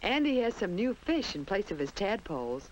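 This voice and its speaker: A woman's voice: medium pitch, sing-song, moderate pace, a little mellow, slight background noise, rough recording. An American woman narrating a 1950s children's instructional film in a simple storytelling manner, on an old film soundtrack. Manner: simple, storytelling delivery addressed to young children.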